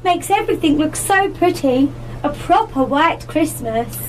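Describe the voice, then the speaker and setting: Woman acting an eager, very high-pitched lady. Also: lively intonation, a little slow. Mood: delighted. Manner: exclamatory, wondering. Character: eager, easily delighted woman friend. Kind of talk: amateur radio play acting. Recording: noisy live amateur radio broadcast recording.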